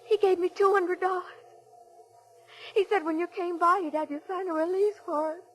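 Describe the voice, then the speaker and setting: A woman's voice: very high-pitched, fairly even intonation, normal speed, soft background music. An actress playing a frightened, loving woman in 1940s radio drama, pleading and melodramatic. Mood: distressed.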